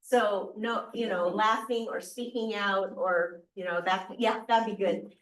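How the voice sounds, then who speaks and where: A woman's voice: medium pitch, steady tone, a little slow, fairly bright tone, distant mic, rough recording. A woman who is board president, chairing a public meeting in a district meeting room, speaking hesitantly with many ums and restarts.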